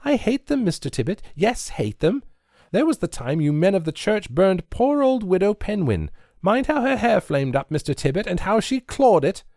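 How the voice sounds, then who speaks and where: A young tavern lass with a high, lively voice pleads through sobs. A man puts her on in a storytelling reading. The sound is close and dry. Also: moderate pace, creaky, few pauses, no room sound. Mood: tearful pleading.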